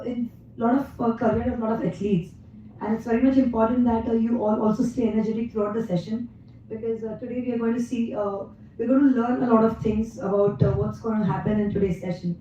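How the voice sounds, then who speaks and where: An Indian woman from Mumbai giving an energetic conference talk to physiotherapists, her voice partly lost, often pausing with "uh.". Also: a little high, slight background noise. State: losing her voice. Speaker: guest speaker at a physiotherapy event. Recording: echoey hall, distant dull mic.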